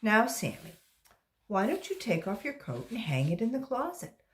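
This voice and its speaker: A woman's voice: a little high, huge pitch swings, normal speed, pitched above the performer's own voice. A woman voicing a patient, primly polite but exasperated schoolteacher in a children's storybook. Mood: coaxing.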